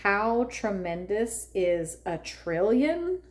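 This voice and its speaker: A woman reading a children's picture book aloud expressively, with deliberate phrasing and drawn-out emphasis. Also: medium pitch, sing-song. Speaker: read-aloud narrator for children.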